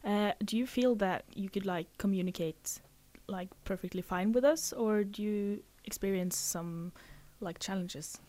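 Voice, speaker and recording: A woman's voice: medium pitch, measured pace, few pauses, warm tone. A young woman hosting a student radio show, asking questions in a chatty way full of fillers. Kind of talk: casual radio interview. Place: radio studio.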